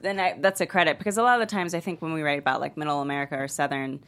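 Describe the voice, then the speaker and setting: A woman in a casual panel chat, talking haltingly in short broken phrases. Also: sits low, steady tone.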